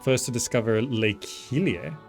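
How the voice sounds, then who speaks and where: A man talks through a strategy game in a casual, thinking-aloud way. His voice sits at an average pitch for a man, and he often trails off mid-thought. Music plays behind his commentary. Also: measured pace, vocal fry. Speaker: gaming video host. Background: background game music.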